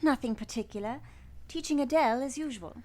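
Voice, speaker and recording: A woman's voice: sits high, fairly quick, dark tone, natural voice. A woman playing an earnest young governess in a radio play, both narrating and speaking with polite deference. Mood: deferential.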